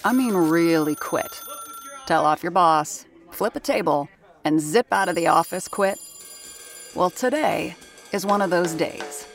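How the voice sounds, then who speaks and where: A woman's voice: fairly low pitch, measured pace, faint music behind. A woman playing a wry, unflappable radio sound-effects worker who tells her story in an audio drama with dry, confiding sarcasm. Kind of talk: audio drama narration and dialogue.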